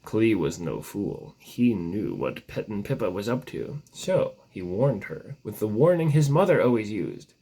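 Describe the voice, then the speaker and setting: Husky man reading with very lively pitch. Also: unhurried, few pauses, low-quality recording. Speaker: storyteller reading aloud. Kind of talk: amateur storybook narration of a mock legend.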